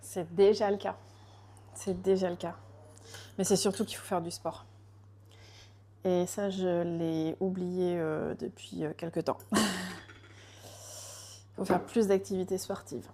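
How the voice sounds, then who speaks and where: A woman's voice: on the low side, steady tone, takes it slowly, long pauses, warm tone, slight background noise. A woman raised in France, chatting casually with live viewers while she bakes, greeting them by name and laughing often.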